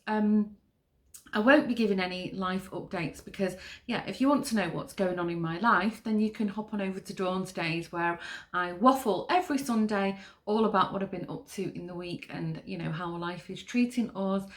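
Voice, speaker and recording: Middle-aged woman, Manchester accent, podcast host, rambling chatty delivery, mid-pitched voice. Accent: Northern English (Manchester). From Manchester, England. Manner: chatty, rambling, with many fillers. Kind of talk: casual chat.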